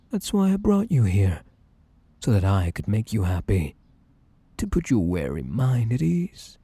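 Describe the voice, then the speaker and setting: A man with a British accent playing a possessive, obsessive, sweetly menacing bunny man in an ASMR roleplay, coaxing and theatrical, with a breathy voice that slips into whispers. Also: lowish voice, warm tone, no room sound, heavily acted. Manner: theatrical, coaxing, drawn-out polite endearments.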